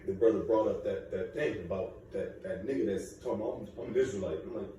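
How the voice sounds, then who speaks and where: A man with a dark, mellow voice speaks up emphatically in a casual group discussion. He sounds distant from the mic in a very echoey room. Faint ambient noise sits underneath. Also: on the low side, fast. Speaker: class participant.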